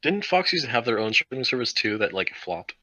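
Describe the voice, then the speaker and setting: A man's voice: medium pitch, sing-song, fairly quick, sharp tone, dry recording. A man chatting casually as a co-host on a group podcast. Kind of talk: casual group chat about pop culture.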